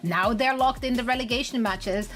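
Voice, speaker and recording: Playful high-voiced woman esports host. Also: normal speed, a bit bright. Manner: playful, joking, chatty. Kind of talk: analyst desk chat.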